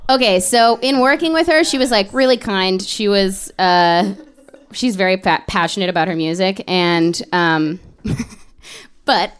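A woman's voice: medium pitch, measured pace. A young woman co-hosting a podcast, telling stories casually with swearing and laughs into a handheld mic. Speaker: podcast co-host. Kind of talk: casual comedic chat. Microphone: handheld microphone.

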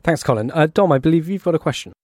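Very quick male host. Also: on the high side, lively intonation, dark tone, no room sound, clean studio sound. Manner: brisk, polite, informal. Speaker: podcast host. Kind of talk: informal presenting.

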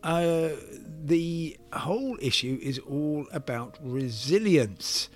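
Measured man explaining the news. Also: a little high, animated. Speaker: podcast host and travel commentator. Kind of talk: semi-scripted news commentary monologue.

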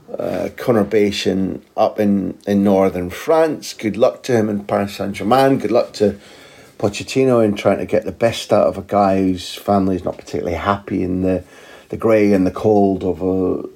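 A man's voice: fairly low pitch, gravelly, dark tone. A man talking as a football journalist and co-host in a casual podcast chat, rambling and wry, with reflective asides.

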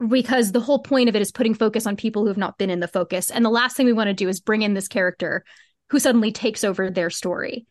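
A woman's voice: mid-range voice, fast, dry recording, clean studio sound. A woman answering as an interview guest, explaining at length in an earnest, emphatic, conversational way with repeated phrases. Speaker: podcast guest, fiction podcast co-creator.

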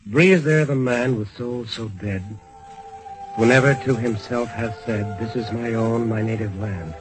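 An actor playing an exiled officer in an old-time radio drama, reading aloud in a halting, reciting manner. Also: male voice, medium pitch, music in the background, natural voice, rough recording. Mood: faltering, pained. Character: exiled officer reciting patriotic verse, faltering.

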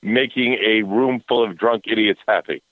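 A wisecracking man in his forties calls in to a podcast. His voice comes through a thin, tinny phone line with no room sound at all, and it sits a little low. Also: normal speed, no room sound. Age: 40s. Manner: wisecracking, self-mocking. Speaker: radio host guest. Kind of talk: casual podcast chat.

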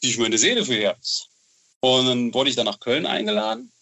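A man talking casually as an interview guest, telling stories haltingly, searching for words and adding frequent tag questions. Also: medium pitch, sing-song, creaky, pushed and bright, lo-fi sound. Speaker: novelist and TV writer, interview guest. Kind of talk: casual interview chat, storytelling.

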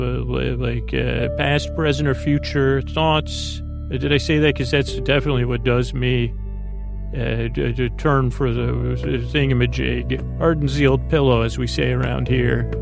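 A man with a creaky voice hosting a sleep podcast, rambling through a bedtime monologue with halting, stammered, repeated words. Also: mid-range voice.

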